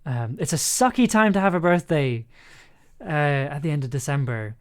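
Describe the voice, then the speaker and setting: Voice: mid-range voice, expressive, normal speed. An enthusiastic audio producer chatting casually and effusively on a livestream while editing. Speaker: audio drama producer and streamer.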